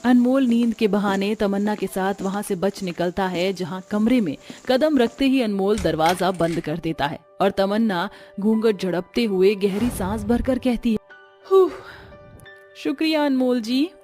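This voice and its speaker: A woman's voice: medium pitch, moderate pace, hardly any pauses, warm tone, faint music behind. A woman reading scripted narration as the storyteller of a family tale.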